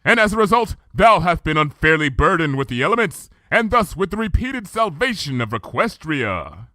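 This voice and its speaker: Male reader doing regal archaic pony princess, lifted high, swooping delivery. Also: sing-song, normal speed, sharp tone, no echo, studio quality. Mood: solemn. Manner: formal old-fashioned thee-and-thou speech. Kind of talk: fan fiction audiobook reading.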